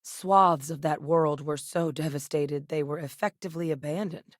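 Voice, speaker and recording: A composed middle-aged woman played by a voice actress with a low-pitched voice, recorded very dry. She is an earnest scientist and war veteran in a space video game, articulate and measured in her delivery. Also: no echo, lightly acted. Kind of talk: scripted video game dialogue.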